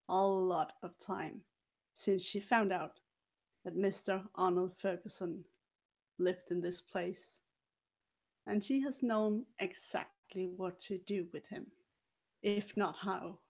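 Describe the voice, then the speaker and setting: A woman telling a story in character as a haughty, scheming former cabaret dancer, theatrical and deliberate with dramatic pauses and swells. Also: mid-range voice, fairly even intonation, darkish tone. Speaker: player in a murder mystery role-playing game.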